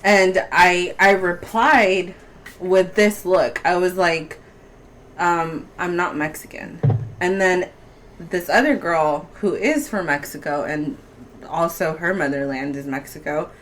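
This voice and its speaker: Bolivian woman with a Spanish accent, low. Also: slow pace, a little hiss or hum. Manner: animated storytelling, frequent restarts. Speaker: podcast guest. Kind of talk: casual chat.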